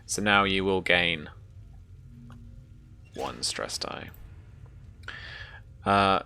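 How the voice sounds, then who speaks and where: A game master improvises a tabletop roleplaying scene in a very low voice. The delivery is slow and halting, with a bright, forward tone as rulings and descriptions are worked out. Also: animated, gravelly, slight background noise.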